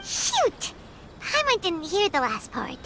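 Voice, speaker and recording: A woman's voice: slow, background noise, exaggerated voice. A woman voicing a tiny, chirpy fairy-like game companion who speaks of herself in the third person. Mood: eager. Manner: talks about herself in the third person, squeaky and excitable. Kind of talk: game voice acting. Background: game music.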